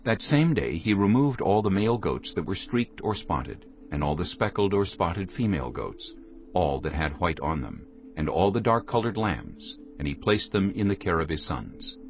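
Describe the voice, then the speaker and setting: A man's voice: deep voice, big pitch swings, normal speed, highs cut off. A man reading scripted narration for a dramatized audio Bible. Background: background music.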